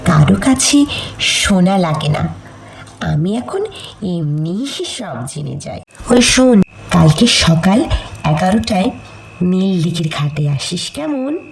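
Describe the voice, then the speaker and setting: Casting: actress as young village sweetheart, slow and creaky, teasing, reproachful, secretive; cartoon drama. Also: female voice, on the low side, exaggerated voice.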